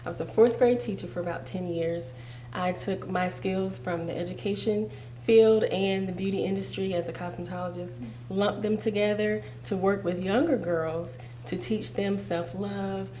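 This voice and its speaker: A woman's voice: mid-range voice, fairly even intonation, moderate pace, mellow. Woman, pageant guest, fluent and composed, plain voice. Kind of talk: casual interview chat.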